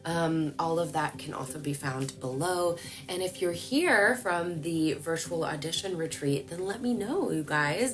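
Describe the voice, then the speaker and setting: A woman, giving a lively, chatty voice-over tutorial to camera from a small room at home. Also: lowish voice. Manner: lively, chatty, animated hand gestures. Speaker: voice-over coach.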